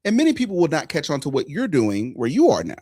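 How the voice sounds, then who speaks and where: Host, man; casual and emphatic, lively pitch, dry room. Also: fast, breathy edge, no room sound. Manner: animated storytelling, emphatic asides. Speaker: podcast host. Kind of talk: casual live chat.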